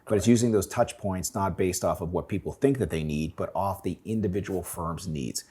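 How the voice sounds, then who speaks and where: A man's voice: low voice, moderate pace, dark tone, breathy edge. A man hosting a podcast, talking in a laid-back, joking way and sometimes trailing off. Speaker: podcast host. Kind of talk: casual podcast chat.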